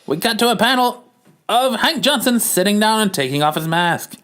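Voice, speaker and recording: A man's voice: sits high, lively intonation, moderate pace, fairly bright tone. Theatrical, amused male comic narrator. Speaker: podcast host, narrator. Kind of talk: dramatic comic-book narration read for laughs.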